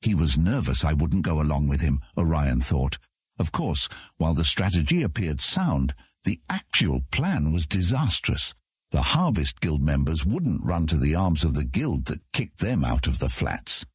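A man with a husky voice reading scripted fantasy narration as an audiobook narrator. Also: rumbling low, huge pitch swings, unhurried, no room sound, clean studio sound.

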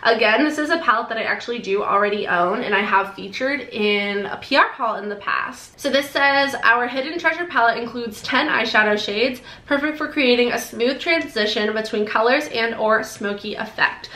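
Bubbly, bright young woman with a nasal voice. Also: on the high side, reverberant. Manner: bubbly, gushing, quick asides. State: sore throat, congested. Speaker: beauty vlogger. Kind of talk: casual product unboxing to camera. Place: decorated bedroom, indoors.

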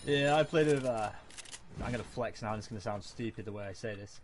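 A man's voice: on the low side, fast, background noise. A young man chatting casually and swearing while playing a shooter game. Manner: laid-back, swears, slangy banter. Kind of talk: casual gaming chat. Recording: game gunfire under the voice.

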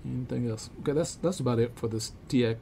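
Older male, gravelly, relaxed delivery; halting, methodical how-to explainer, informal, with some background room noise. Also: mid-range voice. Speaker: repair technician demonstrating equipment checks. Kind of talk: informal instructional demonstration. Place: cluttered indoor electronics workshop.

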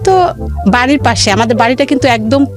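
A woman recounts her life as an interview guest, with music clearly behind her. Her voice is high and moves up and down freely as she pauses and repeats key phrases. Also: animated. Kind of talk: personal storytelling in a radio interview.

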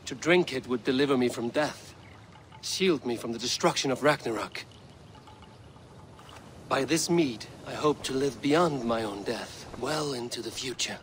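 A man's voice: mid-range voice, a little hiss or hum, lightly acted. Man acting a grave, commanding Norse warrior-god. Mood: solemn, confiding. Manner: solemn, measured, formal. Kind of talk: cinematic video game acting.

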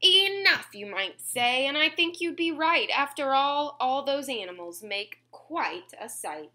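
A woman in her twenties reading a picture book aloud at home, expressively and clearly phrased for young children. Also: high-pitched, animated, a little slow, pushed and bright. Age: 20s. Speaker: children's story reader. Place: small room at home, indoors.

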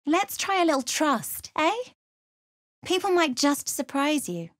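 An actress playing a wry, pragmatic female fantasy adventurer, speaking expressive asides in a scripted video game. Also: sits high, a little slow. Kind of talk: scripted in-character game remarks.

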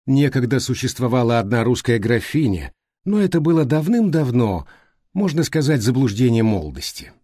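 Man reading, mellow and soft-edged. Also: mid-range voice, sing-song, moderate pace, dry recording, clean studio sound. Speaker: audiobook narrator. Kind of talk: scripted literary narration.

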